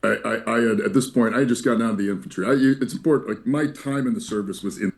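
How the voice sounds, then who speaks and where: A man being interviewed, giving halting, hesitant answers. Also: lowish voice, vocal fry.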